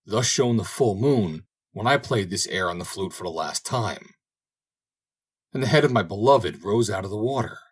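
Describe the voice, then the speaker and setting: A man's voice: on the low side, lively intonation, normal speed, breathy edge. A man voicing an unhappy wife in a storybook, plaintive and lamenting as she longs for her beloved. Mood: sorrowful. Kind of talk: storybook character voice.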